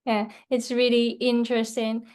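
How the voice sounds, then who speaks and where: Clear, higher-voiced woman interviewer. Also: monotone, moderate pace, darkish tone. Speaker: interviewer, webinar host. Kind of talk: polite interview questions and introduction. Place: webinar video call.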